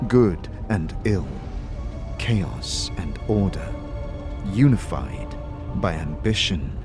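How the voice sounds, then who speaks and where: A man narrates a fantasy story in a theatrical, deliberate style. His voice sits fairly low and swings widely in pitch. Music plays clearly behind him. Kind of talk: dramatic scripted second-person fantasy narration.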